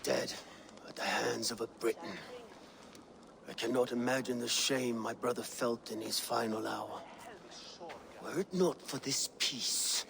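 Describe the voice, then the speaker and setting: A proud Viking warlord in mourning. He is gravelly and solemn at a middling pitch, in dramatic game style with clear noise behind him. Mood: stunned grief. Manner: solemn, weighty, measured. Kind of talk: dramatic video game acting.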